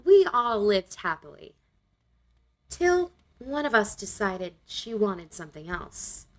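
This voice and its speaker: A woman playing a wry young narrator in a motion comic, telling her story dryly with dramatic pauses. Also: medium pitch, very animated, rough recording. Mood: wistful. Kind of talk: scripted first-person storytelling in a motion comic.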